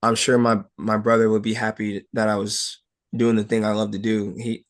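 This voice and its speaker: A young man with a fairly low voice gives casual, personal answers in an interview. He speaks as an athlete, haltingly, often padding his sentences as he finds the next thought. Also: flat delivery. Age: young adult. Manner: halting, frequent "like" and "you know". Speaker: wrestler being interviewed.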